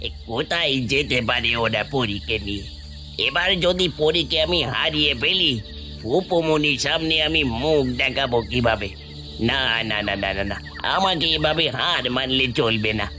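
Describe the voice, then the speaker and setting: A man's voice: medium pitch, expressive, moderate pace, music in the background, lightly acted. A man voicing an obsessive, threatening young villain in a cartoon fairy tale. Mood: frantic, furious.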